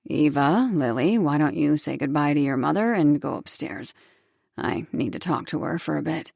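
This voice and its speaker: A woman voicing a divorced father in an audiobook, speaking in a tight, controlled way, a bit lower than her own voice. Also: lively intonation, moderate pace, creaky, a little mellow, no room sound, clean studio sound, full character voice. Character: calm, firm divorced father.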